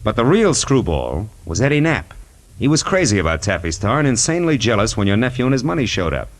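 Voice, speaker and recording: An actor playing a tough, wisecracking American private detective in a 1940s radio noir drama, slangy and sardonic. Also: male voice, medium pitch, sing-song, quick pace, natural voice. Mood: weary, sarcastic. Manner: hard-boiled, slangy, sardonic. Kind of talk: 1940s radio noir acting and first-person narration. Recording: 1940s radio recording with music.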